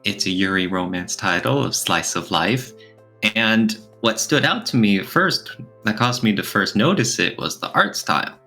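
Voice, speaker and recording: A man's voice: lowish voice, expressive, normal speed, vocal fry, bright, forceful tone, soft background music. A man co-hosting a podcast, talking casually in a thoughtful, explanatory way. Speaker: podcast co-host. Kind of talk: casual podcast discussion, reviewing.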